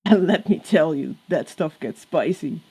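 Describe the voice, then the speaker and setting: A woman's voice: low-pitched, lively intonation, unhurried, mellow, slightly rough, voice pushed down, heavily acted, rough recording. Woman as a cheeky, teasing male demon. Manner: casual, teasing.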